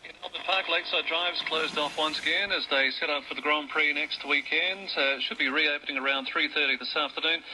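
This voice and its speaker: A man's voice: a little high, steady tone, brisk, few pauses. A man reading a traffic report, heard through a noisy radio.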